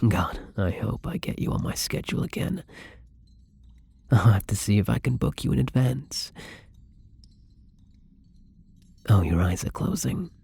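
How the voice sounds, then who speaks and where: A man acts out a tender male vampire in an intimate ASMR roleplay. His voice is very husky and breathy, sitting fairly low with a mellow, dark tone. He speaks softly and caringly, as if to someone close by. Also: sing-song, moderate pace, no room sound, exaggerated voice. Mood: soothing, tender. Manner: soft-spoken, tender, breathy.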